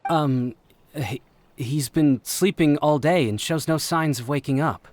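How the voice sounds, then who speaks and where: An actor voicing a hesitant villager who reports on a victim in a halting way. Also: medium pitch, a little mellow, dry recording, lightly acted.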